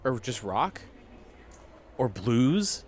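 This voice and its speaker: A man's voice: medium pitch, very animated, very slow. A man playing a tabletop role-playing game, bantering casually in a geeky way. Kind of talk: casual improvised game chat.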